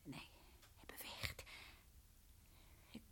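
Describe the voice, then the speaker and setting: An actress playing a fragile, terrified mother losing her mind in a radio horror play, halting and repetitive, often breathy. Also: pushed and bright, in a whisper. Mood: horrified, hushed dread. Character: fragile, terrified mother slipping into hallucinating madness. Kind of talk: radio horror drama acting. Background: piano music.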